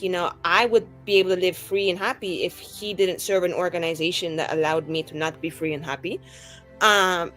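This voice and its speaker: Woman doing improvised rpg banter, exasperated n emphatic, mid pitch, music playing behind. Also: a bit bright. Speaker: tabletop roleplaying game player. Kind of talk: improvised in-character game banter.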